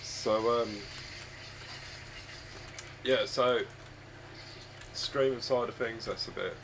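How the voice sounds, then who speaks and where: A man with a husky, croaky voice, hoarse from a chest cold, chatting casually and rambling hesitantly while streaming a game from home on a desk microphone. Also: mid-range voice, takes it slowly, a bit bright, slight background noise, rough recording. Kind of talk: casual live-stream chat. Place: home room with his dog.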